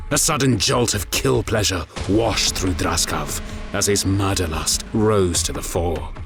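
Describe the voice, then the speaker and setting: Casting: male storyteller with a bass register, solemn and deliberate dramatic narration over a clear music bed.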